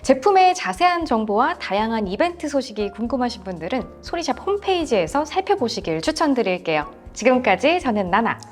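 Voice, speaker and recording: A woman's voice: on the high side, big pitch swings, brisk, hardly any pauses, soft background music. A woman hosting a product review, presenting in a polite, conversational style. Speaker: show host.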